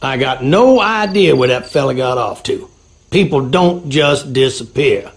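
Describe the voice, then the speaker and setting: Gravelly Southern handyman. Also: medium pitch, animated, a little slow, a little hiss or hum. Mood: wry, folksy. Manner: folksy drawl, colloquial. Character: folksy, skeptical Southern handyman. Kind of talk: video game dialogue.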